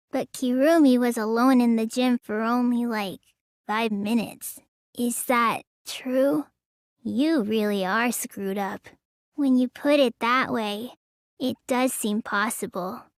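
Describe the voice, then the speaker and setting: A woman's voice: fairly high pitch, no room sound, clean studio sound, lightly acted. A woman voicing a sulky, lazy teenage girl in a video game, with a pouty drawl that stammers when flustered. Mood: sulky, weary. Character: sulky, lazy teenage girl who claims real magic. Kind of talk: English video game voice acting.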